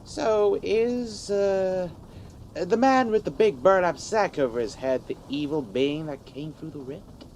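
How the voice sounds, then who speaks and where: A man's voice: high voice, measured pace, darkish tone, a little hiss or hum. A man playing a hesitant, squeamish and incredulous newcomer who stammers, in a comedy audio drama. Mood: puzzled. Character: bewildered, squeamish male newcomer to monster business.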